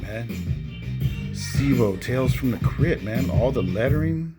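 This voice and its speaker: A man hosting a casual show-and-tell of a collection, enthusiastic and often punctuating his phrases with "man". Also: mid-range voice. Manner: enthusiastic, repeatedly tacks "man" onto phrases. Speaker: video host showing cassette collection. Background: music with guitar.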